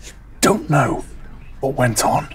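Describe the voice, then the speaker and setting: Low-voiced, wounded grown daughter. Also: female voice, very animated, slow pace, full character voice. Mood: guarded. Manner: blunt, wounded, accusing. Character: hurt, resentful grown daughter of a widow, bereaved.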